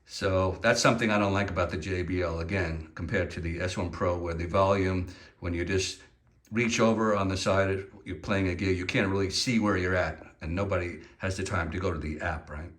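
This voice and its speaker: A man's voice: low-pitched, fairly even intonation, a little fast, fairly bright tone. A man giving an unscripted, informal and opinionated product review, explaining features hands-on as he goes. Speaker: product reviewer presenting portable PA speakers.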